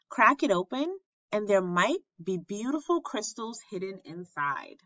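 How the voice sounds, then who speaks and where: A woman reads a children's lesson aloud at an unhurried pace, with a bright, forward tone. She enunciates each phrase deliberately like a schoolteacher. The recording is close and dry, slightly dull in fidelity. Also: mid-range voice, dry recording. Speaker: elementary school teacher reading aloud.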